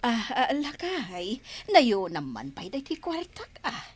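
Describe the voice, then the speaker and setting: A coaxing village wife in an Ilocano radio drama, played by a woman. She has a high, lively voice and draws her words out very slowly. Her accent is Ilocano and her manner insistent. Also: slightly rough, exaggerated voice. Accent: Filipino, Ilocano. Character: wheedling, money-asking village wife and mother.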